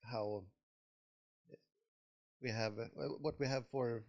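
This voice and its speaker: Swedish male co-host, gravelly voice, hesitant and halting. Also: mid-range voice, steady tone, darkish tone. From Sweden. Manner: hesitant, many fillers and pauses. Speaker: podcast co-host. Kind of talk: casual chat.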